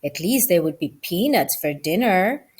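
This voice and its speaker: A woman's voice: on the low side, lively intonation, normal speed, darkish tone, husky, voice pushed down. A woman voicing a small young male mouse in a children's storybook, chatty and curious. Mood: wry. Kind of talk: children's audiobook character reading.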